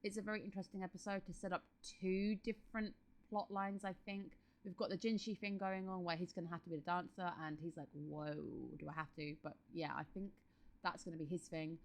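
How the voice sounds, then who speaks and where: A woman's voice: on the low side, level tone, brisk. Congested woman chatting through a review. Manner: chatty, thinking aloud with restarts. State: congested from a cold. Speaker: anime reviewer. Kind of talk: casual spoken review.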